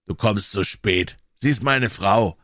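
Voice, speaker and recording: A man voicing a gloating, brutish young villain who speaks in a mocking, slow way. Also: fairly low pitch, fairly bright tone, no echo, studio quality. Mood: taunting.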